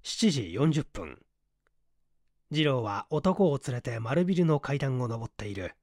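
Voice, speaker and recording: A man's voice: medium pitch, big pitch swings, measured pace, darkish tone, no echo, studio quality. A man narrating a literary mystery story as a dramatised audiobook reading. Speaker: audiobook reader, small-theatre stage actor.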